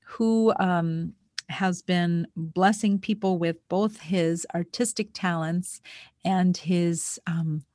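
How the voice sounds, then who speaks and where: A woman hosting a podcast, speaking in an effusive manner with deliberate emphasis. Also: lowish voice, steady tone, darkish tone, no room sound, clean studio sound. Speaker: podcast host. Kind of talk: warm podcast hosting, introductions.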